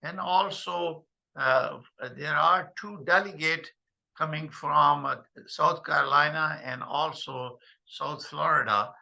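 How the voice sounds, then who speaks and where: An older man hosting a video call meeting, speaking haltingly with many fillers. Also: a little high, creaky, pushed and bright, low-quality recording. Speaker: host.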